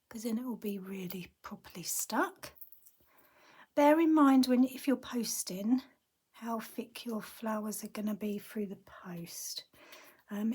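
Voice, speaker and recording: Woman, croaky husky voice, slow unhurried talk, chatty craft tutor, murmurs to herself. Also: a little high, a little mellow. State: croaky, losing her voice. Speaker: card-making tutor.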